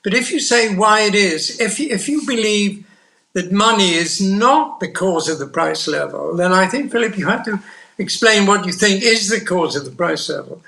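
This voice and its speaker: An older man from the United Kingdom speaking as a panel guest, measured and deliberate as he searches for words. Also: high voice, expressive, gravelly, sharp tone. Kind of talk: panel discussion.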